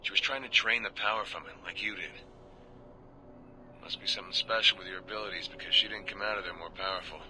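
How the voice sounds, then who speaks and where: Deep, sharp-voiced American prison guard. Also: male voice, steady tone, quick pace, a little hiss or hum, low-quality recording. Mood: wry, confiding. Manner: confiding, plain-spoken. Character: world-weary male prison guard confiding grim secrets. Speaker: game character, prison guard. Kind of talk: scripted audio-log monologue.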